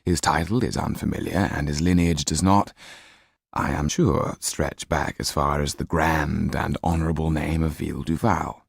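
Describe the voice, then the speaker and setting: A man voicing a formal French lawyer in an audiobook, measured and firm, with dry irony and quiet disapproval. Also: rumbling low, fairly even intonation, creaky, husky, no room sound, heavily acted.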